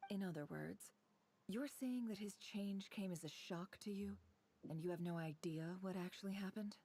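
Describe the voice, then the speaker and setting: A woman's voice: mid-range voice, normal speed, warm tone, a little hiss or hum, close to an everyday voice. An actress playing a shrewd, self-assured woman intelligence broker in a video game, with a cool, dry, probing manner.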